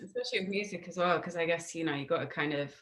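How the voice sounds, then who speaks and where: A woman's voice: lowish voice, very fast, a bit bright, a little hiss or hum. A woman hosting an interview in a casual, chatty style. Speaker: interviewer, host. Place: remote video call.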